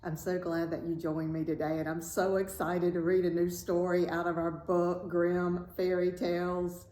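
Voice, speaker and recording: A woman reading a fairy tale aloud as host, in a deliberate, dramatic storytelling style. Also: fairly low pitch, flat delivery, warm tone. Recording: roomy echo, faint background noise.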